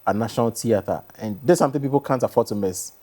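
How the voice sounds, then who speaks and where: A male interview guest with a Ghanaian accent. He talks very quickly in a casual, promotional style. His manner is agreeable, often echoing and confirming points. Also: medium pitch, mellow. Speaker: interview guest, stage play producer.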